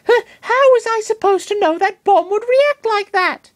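A man voicing a young male Pokemon fighter in a shrill, eager voice. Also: moderate pace, dry recording, studio quality. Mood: excited.

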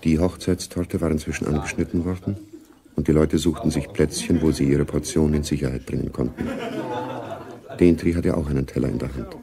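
A man narrating a radio drama as a grave, brooding storyteller, measured and sombre. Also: extremely low pitch, fairly even intonation, creaky, crowd noise.

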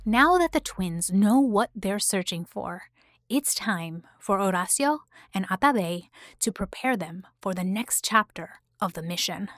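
A woman's voice: mid-range voice, animated, a little slow, heavy background noise. A woman giving scripted podcast narration as the show's writer and narrator. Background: music.

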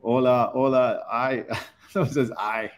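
A man's voice: mid-range voice, steady tone, unhurried. A middle-aged man hosting a live webinar from an auto repair shop, chatting loosely and calling people dude. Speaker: webinar host. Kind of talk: casual live-stream chat.

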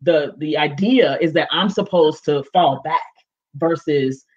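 A woman panelist with a big, expressive voice, speaking emphatically and directly on a video call, often restarting with fillers. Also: low voice, a little slow, breathy edge. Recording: dull lo-fi video call audio.

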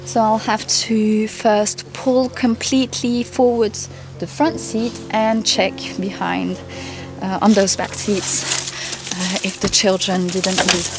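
Woman, thirties, casual vlog, arm's-length camera, outdoors, music behind. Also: mid-range voice. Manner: friendly, chatty, with small laughs and fillers. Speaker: vlogger, mother. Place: outdoors in a winter forest by a car. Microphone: camera held at arm's length.

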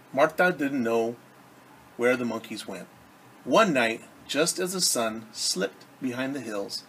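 A man's voice: medium pitch, a bit bright, low-quality recording. A middle-aged man reading a story aloud to children as a teacher, expressively, with simple explanations, recorded in a living room at arm's length from the camera. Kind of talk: read-aloud storytelling with asides explaining words. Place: indoors in a home living room. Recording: clear background room noise.

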